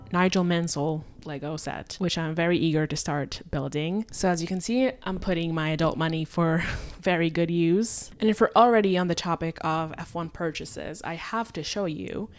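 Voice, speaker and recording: A woman's voice: fairly low pitch, fairly even intonation, moderate pace, a little hiss or hum. A woman with a German-like accent giving a casual, chatty, opinionated recap as a motorsport vlogger.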